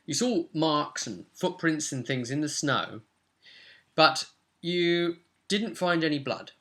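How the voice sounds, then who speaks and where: A man's voice: on the high side, lively intonation, slow pace, pushed and bright. A young man with a British accent casually recapping a tabletop role-playing session as its game master. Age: young adult. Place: small cluttered room at home.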